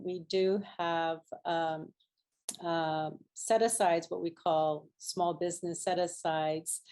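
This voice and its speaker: A middle-aged woman from Hawaii gives a lecture over a video call. Her voice sits a little low, and she talks in a halting way, filling gaps with ums and restarting words as she goes. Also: darkish tone, poor audio. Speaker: guest lecturer, architect.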